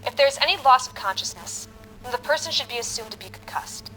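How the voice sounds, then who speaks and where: A high-voiced, clinical medical broadcaster. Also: female voice, lively intonation, bright, forceful tone, soft background music, poor audio. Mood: clinical, instructive. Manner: measured, clinical, precise. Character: matter-of-fact female medical advice broadcaster. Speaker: medical instructor on air. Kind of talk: scripted instructional radio broadcast.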